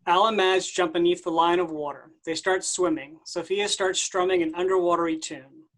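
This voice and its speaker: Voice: medium pitch, fairly even intonation, normal speed, fairly bright tone, low-quality recording. A reader narrating stage directions plainly at a staged play reading.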